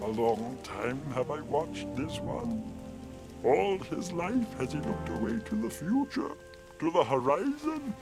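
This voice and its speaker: A man playing a tiny ancient alien master in a radio drama, impish yet stern, with a croaky voice, inverted word order and little hums. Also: fairly high pitch, sing-song, measured pace, music in the background, full character voice. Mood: stern, scolding. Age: eight hundred years old. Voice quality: croaky, creaky voice.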